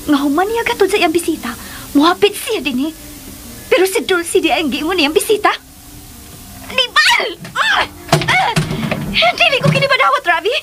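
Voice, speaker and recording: An actress playing a furious, demanding jilted mistress with a shrill voice in a melodramatic radio drama. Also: female voice, very animated, normal speed, pauses often, over music. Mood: seething, wounded.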